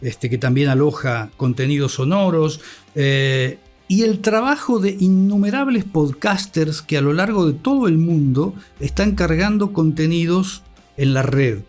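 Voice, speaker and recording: A middle-aged man with an Argentine accent, giving reflective, measured answers as an academic guest, in formal vocabulary. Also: fairly high pitch, animated, a little mellow. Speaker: interview guest, communication academic and scriptwriter. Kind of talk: reflective interview talk. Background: music bed.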